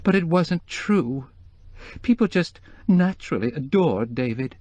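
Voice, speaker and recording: A man's voice: animated, unhurried, a little mellow, dry recording. A man voicing a weepy, distraught middle-aged woman. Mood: tearful. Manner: weepy, quavering, rising high in distress.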